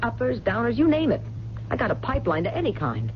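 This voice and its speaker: A ruthless young mistress in a radio thriller. She is blunt and slangy, coaxing with a cold edge and swinging widely in pitch. The sound is dull, with hiss behind it. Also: huge pitch swings, fast talker. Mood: coaxing, scheming. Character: hard, scheming young mistress pushing her lover to murder. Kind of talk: radio mystery drama acting. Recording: dull, hissy 1970s radio recording.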